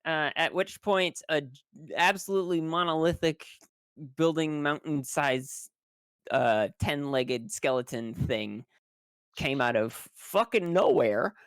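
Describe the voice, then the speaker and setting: A player of a tabletop role-playing game giving a rambling, halting spoken recap in casual language, with wry phrasing and free swearing. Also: medium pitch, clean tone, no room sound. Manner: swears freely, wry and colloquial.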